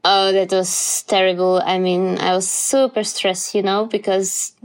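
A woman's voice: medium pitch, flat delivery, measured pace, smooth, rough recording. A young woman from Poland with a Polish accent, a musician answering interview questions in English with many fillers and restarts. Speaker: musician guest.

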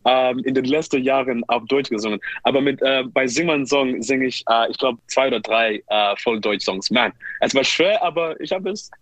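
A musician whose first language is English, chatting in halting German in a radio interview, with many restarts and English fillers like "you know". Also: male voice, medium pitch, a bit bright, no room sound, low-quality recording. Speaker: musician guest. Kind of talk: casual interview in learner's German. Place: radio studio.

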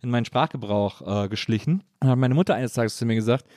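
A man recorded close and dry, with a medium-pitched voice. He is an interviewer from the Cologne area, chatting informally and often circling back over his words as he phrases things. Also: fast, a little mellow, no echo. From Cologne area, Germany. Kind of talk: casual conversational interview.

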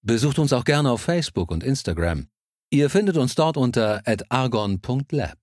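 A man's voice: mid-range voice, lively intonation, moderate pace, darkish tone. A man reading a scripted podcast announcement and credits as announcer. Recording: clear music bed behind voice.

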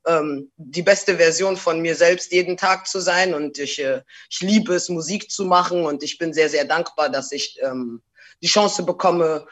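A woman rapper talking in a casual interview, relaxed and slangy, slipping English phrases into her speech. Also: sits low, a little slow.

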